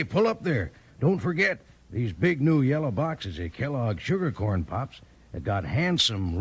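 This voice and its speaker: A man's voice: mid-range voice, slightly rough. A man delivering a folksy, chummy cowboy-style advertisement in the manner of 1950s radio.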